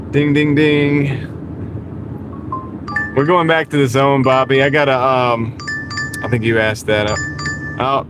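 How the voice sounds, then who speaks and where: A man chatting casually with viewers on a livestream while driving for deliveries, greeting people and chuckling often. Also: mid-range voice. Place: inside a car on the road. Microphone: small wireless clip-on mic. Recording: car road noise in background.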